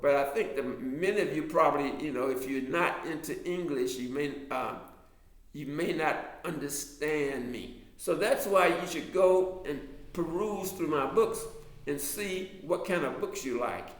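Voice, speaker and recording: An older man with a mild Southern American accent and a slightly high voice talks to a class in a loose, wandering way, stopping and restarting his sentences often. The room around him is echoey. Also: unhurried. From Alabama, US South. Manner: digressive, many restarts and fillers. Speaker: author and lecturer. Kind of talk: rambling talk to a class.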